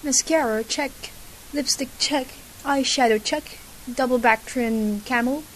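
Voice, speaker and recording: A woman's voice: high-pitched, measured pace, rough recording. A woman voicing a vain, melodramatic young man in a spoof fan fiction, in a theatrical manner. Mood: fussy. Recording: hissy amateur home recording.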